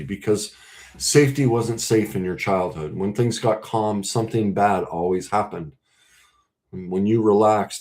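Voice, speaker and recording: A man speaking as a relationship coach, earnest and emphatic, breaking his talk into short, deliberate phrases. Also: lowish voice, darkish tone. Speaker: relationship coach and podcast host. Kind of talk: coaching talk to camera with role-played example conversations.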